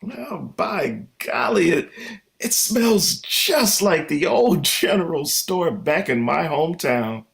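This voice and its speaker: A man's voice: fairly high pitch, sing-song, takes it slowly, vocal fry, a bit bright, full character voice. A man playing a flustered, folksy middle-aged father in an old-time radio comedy, hesitant and stammering. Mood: amiable.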